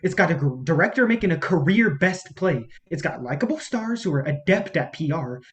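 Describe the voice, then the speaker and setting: A performer at a staged reading acts out an embittered film campaign strategist at the end of their rope. The delivery is highly animated, sweeping up and down in pitch. The manner is profane, sarcastic and exasperated. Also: fairly quick, close to an everyday voice. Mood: agitated, despairing.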